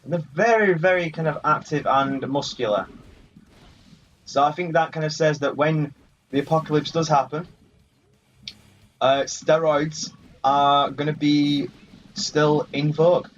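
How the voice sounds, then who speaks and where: A man's voice: on the high side, steady tone, unhurried, pauses often, slight background noise. A man giving casual let's play commentary, bantering jokily and swearing mildly at the game's characters. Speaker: gaming video host. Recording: muffled lo-fi audio over game music. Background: video game music.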